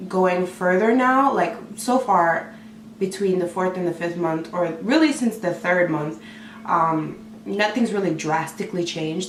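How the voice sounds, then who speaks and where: A woman vlogger, hoarse from a cold, chatting excitedly to camera with lots of fillers. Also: lowish voice, reverberant, recorded from a distance, slight background noise, low-quality recording. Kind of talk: casual talk to camera.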